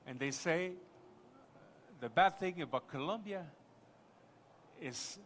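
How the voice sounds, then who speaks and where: A man's voice: fairly high pitch, expressive, takes it slowly, fairly bright tone, a little hiss or hum. French-Canadian man talking up a city. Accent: Quebec French. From Quebec. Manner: enthusiastic, rambling with restarts. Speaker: panel speaker. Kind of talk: live conference panel talk.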